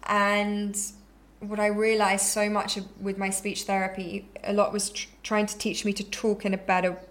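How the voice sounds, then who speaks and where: A woman's voice: medium pitch, completely flat delivery, normal speed. A woman in her thirties, a yoga teacher, telling her own story candidly and reflectively, with frequent ums and restarts. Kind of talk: personal storytelling monologue.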